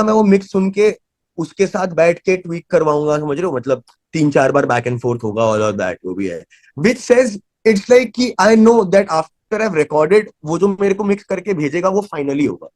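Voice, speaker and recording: A man's voice: sits high, darkish tone, no room sound. A man with an Indian accent talking casually as an interview guest, switching often between Hindi and English. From Delhi, India.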